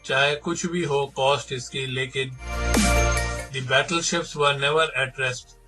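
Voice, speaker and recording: A man's voice: medium pitch, steady tone. A man with an Indian accent narrating documentary material, mixing scripted reading with conversational explanation. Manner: switches between measured reading and rapid explaining. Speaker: presenter retelling a documentary. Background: background music.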